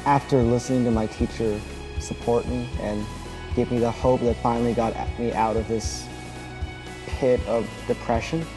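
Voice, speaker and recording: A young man from Alabama giving an earnest, reflective personal testimony speech, sometimes pausing to restart a phrase. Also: mid-range voice, level tone, over music. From Alabama, United States. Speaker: speaker telling his own story.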